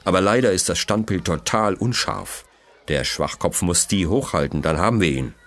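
A man voicing a clever, bossy schoolgirl detective, explaining quietly and briskly in a children's audio mystery. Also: deep voice, expressive. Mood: eager, instructing.

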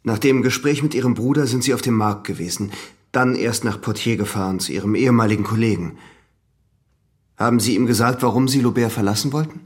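A man's voice: medium pitch, lightly acted. Examining magistrate, male actor, radio drama: mid register, methodical, controlled, persistent questioner. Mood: probing, controlled. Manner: measured, formal, insistent questioning.

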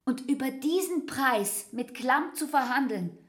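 High, swooping, bitter young barmaid. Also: female voice, animated, a little slow. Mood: bitter, emphatic. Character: young barmaid bitterly repeating accusations to her lover. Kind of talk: radio play acting over music.

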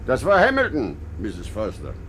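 A man's voice: on the low side, wildly swooping pitch, moderate pace, fairly bright tone, slight background noise. An actor playing a chauffeur in a radio play, dry and stubborn, with mock deference toward his employer. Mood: matter-of-fact. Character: male chauffeur, deferential yet drily insolent.

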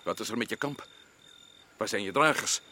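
A man's voice: lowish voice, normal speed, bright, forceful tone, slight background noise. A man playing a stern expedition leader in a radio play, questioning and giving curt orders. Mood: probing. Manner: probing, commanding.

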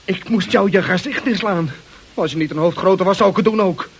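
A man's voice: high voice, a little fast, husky. An actor playing an exasperated, evasive lodger in a radio play, hesitant and pleading as he tries to keep control. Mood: angry, dismayed. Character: exasperated, evasive lodger, nervous about his lady friend. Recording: hissy old radio play recording.

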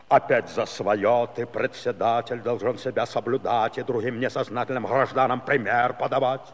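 Low, groaning, blustering Cossack chairman. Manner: groaning, indignant, colloquial. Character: blustering hungover Cossack village chairman, henpecked, self-important. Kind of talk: theatrical folk comedy acting. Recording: echoey hall with long reverb.

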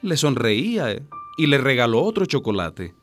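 An expressive storyteller narrating a scripted radio drama. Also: medium pitch, moderate pace. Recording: music behind the voice.

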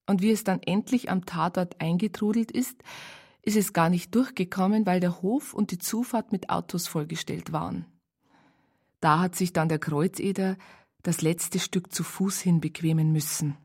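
An actress playing a wry storyteller with a Bavarian accent, narrating a radio crime drama in a colloquial, unhurried way. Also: female voice, fairly low pitch, darkish tone, slightly rough. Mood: dry storytelling. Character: wry female storyteller recounting police case files.

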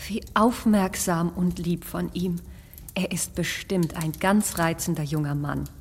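An actress playing a gentle, sympathetic young mother who speaks softly and earnestly. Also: fairly low pitch, steady tone, unhurried, slightly rough, big-room sound. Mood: tender. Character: gentle, tender young mother, easily moved. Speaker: actress in a radio play.

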